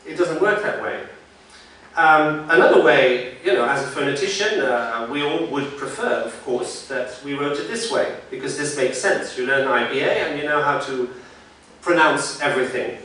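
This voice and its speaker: A man, a phonetician, giving a conversational conference talk, thinking aloud with frequent pauses. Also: mid-range voice, bright, forceful tone. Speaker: symposium speaker, phonetician. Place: large lecture room. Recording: very echoey hall, mic far off.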